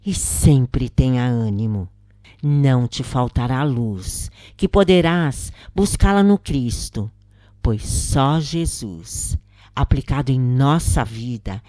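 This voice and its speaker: A Brazilian woman reading a scripted spiritual account as narrator, in a solemn, measured manner. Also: a bass voice, mellow, no room sound, clean studio sound.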